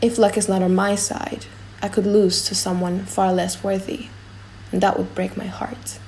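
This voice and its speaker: A woman's voice: mid-range voice, level tone, moderate pace, a little mellow, slight background noise, lightly acted. A woman voicing a proud, ardent prince, a boastful suitor, in a solemn and declamatory audiobook performance. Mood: boastful, wistful. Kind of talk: dramatic audiobook acting.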